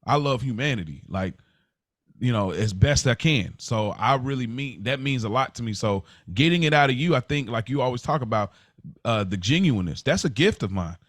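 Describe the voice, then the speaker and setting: A man's voice: mid-range voice, expressive, a little fast, dry recording, studio quality. A man speaking as a podcast co-host in casual, reflective conversation, earnest and conversational in his delivery.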